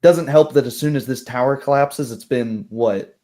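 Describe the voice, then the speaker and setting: A man acts out a tall, lanky elf farmer in a tabletop roleplaying game. He is resigned and candid, picking his words slowly with heavy sighs, in an ordinary middle-pitched male voice. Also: warm tone, close to an everyday voice. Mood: frank, exasperated. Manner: sighing, hesitant, candid.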